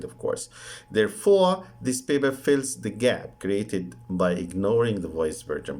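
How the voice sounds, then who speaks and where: A man with an Egyptian Arabic accent giving an academic conference presentation in a halting, deliberate way with drawn-out hesitations. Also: deep voice, a little mellow.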